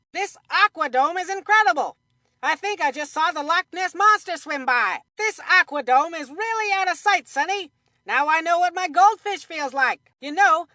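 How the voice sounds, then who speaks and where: Goofy little boy baseball announcer from a cartoon game, high voice, folksy jokes, really dry close sound. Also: bright, forceful tone, dry recording. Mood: excited, playful. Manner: folksy, jokey old-time announcer patter. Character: excitable goofy kid baseball commentator, a young boy. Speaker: sports commentator.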